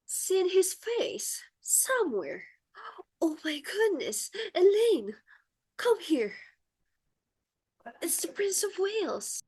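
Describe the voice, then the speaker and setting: Woman acting as a flustered posh hostess mom, really high voice, bossy n dramatic, stage comedy style. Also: sing-song, extremely slow, pauses often, a little mellow, full character voice. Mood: awestruck, excited. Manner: theatrical, emphatic, exclaiming and repeating herself. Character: flustered, imperious, melodramatic society hostess mother.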